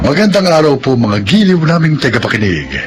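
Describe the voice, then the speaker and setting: A man with a Filipino accent narrating and announcing a radio drama in a formal, dramatic, scripted style with measured phrasing. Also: mid-range voice, very animated, over music.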